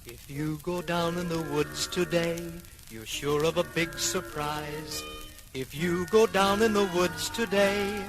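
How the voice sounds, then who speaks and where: A man's voice: fairly high pitch, slow, over music, low-quality recording. A man singing a children's novelty song.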